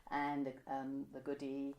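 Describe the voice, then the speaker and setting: A woman's voice: extremely low pitch, monotone, takes it slowly, mellow, rough recording. A woman. She talks informally as an interviewee, looking back chattily and often correcting herself as she goes. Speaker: interviewee, amateur pantomime writer. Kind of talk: casual reminiscing interview. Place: indoor room by a window, seated.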